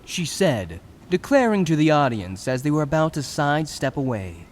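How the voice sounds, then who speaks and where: A man's voice: on the high side, very animated, normal speed, slight background noise. A man reading scripted narration for a fantasy audio drama.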